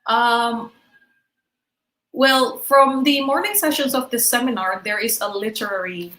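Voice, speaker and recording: A woman's voice: on the high side, measured pace, sharp tone. Woman lecturing in an echoey room. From Indonesia. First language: Indonesian. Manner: explanatory, checks in with "right?". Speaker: webinar speaker. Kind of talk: online lecture to teachers.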